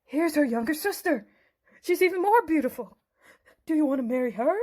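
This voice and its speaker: A woman's voice: sits high, expressive, brisk, darkish tone, voice pushed up. A woman voicing a nervous, placating father-in-law who coaxes and pleads in a Bible storybook dramatization. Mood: nervous, coaxing. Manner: hesitant, pleading, coaxing.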